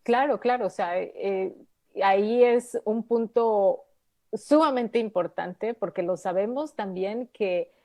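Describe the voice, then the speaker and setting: Mellow-voiced woman hosting a radio talk. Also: medium pitch, takes it slowly. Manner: supportive, with frequent backchannel affirmations. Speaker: radio host. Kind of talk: live radio interview.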